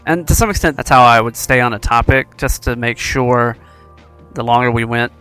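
A man's voice: medium pitch, normal speed, sharp tone, clear, resonant tone, faint music behind. A man hosting a discussion show, asking probing questions in a casual way and often restarting his sentences. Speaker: show host and roundtable moderator.

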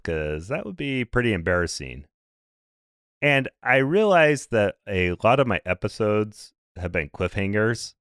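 Low-voiced guy hosting a podcast, bit hoarse and raspy, brisk chatty delivery, dry close sound. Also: a bit bright, no echo. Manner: brisk, conversational, light dry humor. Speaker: podcast host. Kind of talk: scripted podcast explainer.

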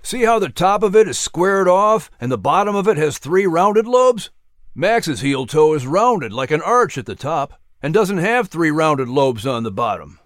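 A man's voice: a little high, big pitch swings, unhurried, dry recording, clean studio sound, voice pushed up. A man voicing a patient uncle who explains the woods like a teacher.

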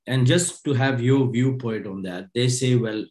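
A man asking interview questions in a hesitant, halting way with many fillers. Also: mid-range voice, darkish tone.

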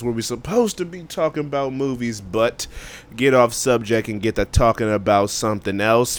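A man's voice: mid-range voice, smooth. Male podcast co-host, casual and slangy, clean resonant tone. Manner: loose, rambling, slangy. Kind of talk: casual movie chat.

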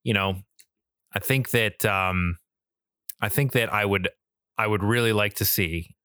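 A man with a fairly low, bright voice talks casually as a podcast co-host. He is emphatic and slangy, and the sound is very dry. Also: unhurried, clean tone, dry recording. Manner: emphatic, slangy, mildly profane. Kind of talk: casual chat about video games and media.

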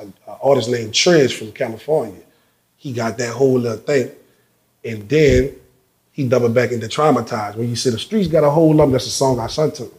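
A man's voice: mid-range voice, reverberant. A man telling stories as a guest in a casual interview, acting out what others said and often repeating words. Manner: animated storyteller, acts out quotes, repeats words.

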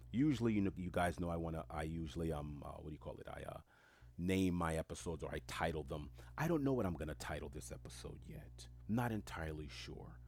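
A man with a very low, deep voice talks on his own podcast. He rambles in a reflective stream of consciousness and leans on repeated phrases for emphasis. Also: darkish tone, slightly rough. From New York City, Queens. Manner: reflective, emphatic, rhetorical repetition. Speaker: podcast host. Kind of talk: solo stream-of-consciousness monologue.

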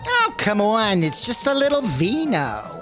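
A woman's voice: on the high side, wildly swooping pitch, unhurried, over music. Gushing, swooping lady rabbi. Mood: chatty hospitality. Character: effusive matchmaking woman rabbi, chatty, secretly scheming host. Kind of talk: comedy audio drama acting.

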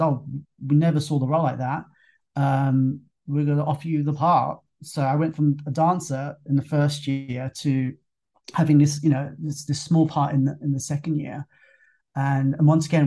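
A young man from with a gravelly, mellow voice talks through his story as an interview guest. He hesitates often, repeating words and filling pauses with "you know". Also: medium pitch. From London, England. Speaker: guest, fitness instructor. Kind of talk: casual interview talk.

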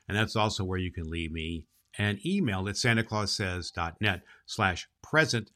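Man playing bright, kindly old Santa Claus. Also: low voice, lively intonation, normal speed. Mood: cheerful, affectionate. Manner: emphatic, affectionate, addressing boys and girls. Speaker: storybook reader and show host. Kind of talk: children's story reading and hosting.

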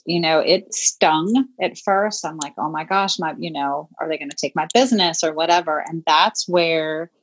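Woman, fifties, slightly low pitch, casual guest chat, reflective, filler-heavy. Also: poor audio. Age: 50s. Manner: chatty and reflective, many "you know" fillers. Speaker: podcast guest, boutique owner.

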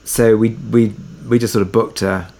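A man's voice: lowish voice, level tone, normal speed, dark tone. A man talking casually as an interview guest, telling production anecdotes in a conversational way and thinking aloud as he goes.

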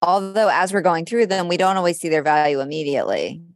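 A woman's voice: lowish voice, fast talker. A woman chatting casually as a podcast co-host, in a frank, wry way. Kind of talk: casual chat about horoscopes.

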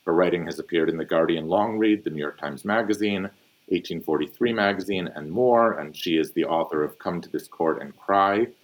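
A man hosting a podcast panel discussion, introducing guests in a measured, formal way. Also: sits low, poor audio. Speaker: podcast host, newsletter editor.

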